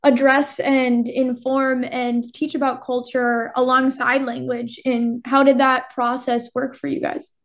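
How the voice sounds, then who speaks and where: A woman's voice: high-pitched, flat delivery, a little slow, few pauses. A woman hosting a podcast interview, asking questions and slipping Spanish phrases into her English. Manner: warm and polite, mixing Spanish phrases into English. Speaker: podcast host.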